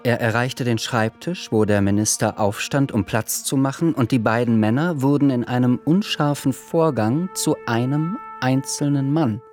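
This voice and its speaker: A man playing the measured narrator of an uneasy literary radio drama, reading scripted prose. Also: mid-range voice, darkish tone, faint music behind, natural voice.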